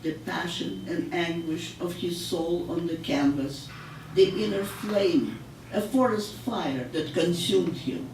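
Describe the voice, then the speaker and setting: Slow older woman reading poetry, echoey room. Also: fairly low pitch, a little mellow. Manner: measured, solemn, deliberate delivery with pauses. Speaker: poet. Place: public library room, live reading. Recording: echoey room, distant mic, noisy.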